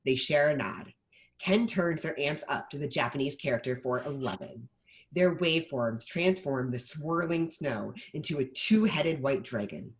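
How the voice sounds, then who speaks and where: A man's voice: on the high side, lively intonation, normal speed, poor audio. A man reading stage directions as scripted narration for a table read.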